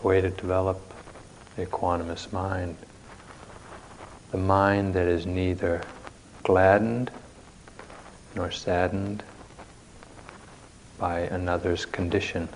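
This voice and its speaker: Guy leading a guided meditation, really slow w long gaps between phrases, bit of noise in the recording. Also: low voice, flat delivery, low-quality recording. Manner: measured, halting delivery with long pauses between short phrases. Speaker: meditation teacher. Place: meditation retreat center, live session.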